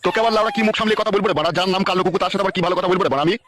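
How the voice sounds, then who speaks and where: A man voicing a grumpy, foul-mouthed older father-in-law in a comic cartoon dub, grumbling excitably. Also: high-pitched, very fast. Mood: irritated cursing.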